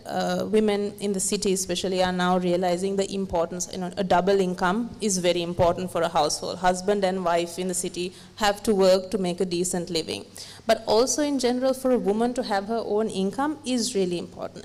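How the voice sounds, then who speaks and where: A woman's voice: mid-range voice, steady tone, brisk, a little mellow. A woman panelist giving thoughtful remarks in a discussion, with frequent fillers and restarts. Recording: long hall reverb.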